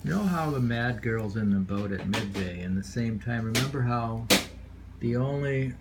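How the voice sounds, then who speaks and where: A man's voice: medium pitch, animated, normal speed, very noisy. A man reading short poems aloud and musing on them casually, often repeating phrases. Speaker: poetry reader.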